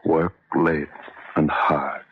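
Deep-voiced, haunted, anguished chemist. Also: male voice, level tone, breathy edge, exaggerated voice. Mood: brooding, regretful. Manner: brooding, halting, fragmented monologue. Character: anguished, self-reproaching male chemist recalling his downfall. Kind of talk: old-time radio drama acting. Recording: muffled, noisy old radio recording.

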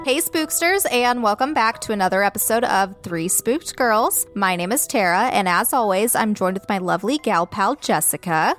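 A woman co-hosting a casual podcast, upbeat and quick to laugh. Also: medium pitch, expressive, fairly bright tone, soft background music. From living in Alaska. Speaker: podcast co-host. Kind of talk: casual chat.

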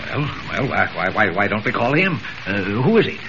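An actor playing a gruff, sputtering middle-aged newspaper editor in a 1940s radio serial, demanding and repeating his words. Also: fairly low pitch, big pitch swings, normal speed. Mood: alarmed. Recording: noisy, muffled vintage radio recording.

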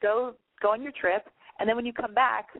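A woman's voice: on the high side, steady tone, moderate pace, no room sound, narrow, tinny audio. A woman hosting a call-in advice show, giving chatty, emphatic big-sister advice. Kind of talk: casual advice call-in chat.

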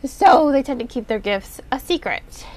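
A woman's voice: high-pitched, lively intonation, moderate pace, darkish tone. A congested woman with a nasal voice, hosting a podcast and talking through a book review in a chatty, rambling way with lots of fillers. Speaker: podcast host reviewing books. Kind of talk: casual spoken book review.

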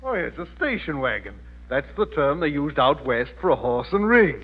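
Husky pompous uncle. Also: male voice, on the high side, very animated, moderate pace. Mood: self-assured. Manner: pompous, melodramatic. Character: theatrical, suspicious know-it-all uncle. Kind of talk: 1940s-50s radio comedy acting. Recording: muffled old radio recording.

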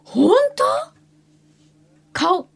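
A woman co-hosting a radio show, reacting and chatting casually with her partner. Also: drawn-out words.

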